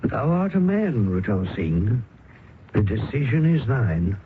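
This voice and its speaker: An actor playing an educated, loyal gentleman friend in old-time radio drama, formal and earnest, who also narrates. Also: mid-range voice, big pitch swings, slow, noisy background. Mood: questioning. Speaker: narrator and character. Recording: tinny old radio recording with music.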